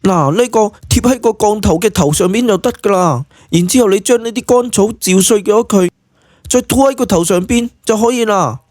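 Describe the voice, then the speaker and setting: A woman playing a bashful yet bossy young witchcraft expert in a Cantonese audio drama, expressive and huffy. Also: mid-range voice, brisk, no echo, clean studio sound, close to an everyday voice. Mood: instructive.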